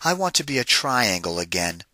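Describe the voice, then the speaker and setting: A man's voice: fairly low pitch, big pitch swings, moderate pace, pushed and bright, no room sound, full character voice. Man voicing a plaintive, weary little cartoon shape. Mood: pleading. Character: restless talking yellow shape, dizzy, lonely and weary.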